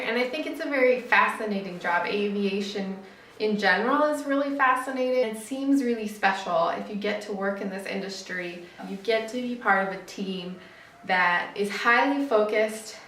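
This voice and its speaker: A woman's voice: mid-range voice, sharp tone. A young woman talking to camera in a casual vlog, chatty and upbeat, often correcting herself mid-sentence. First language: English. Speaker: vlogger. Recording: roomy sound from a distant camera mic.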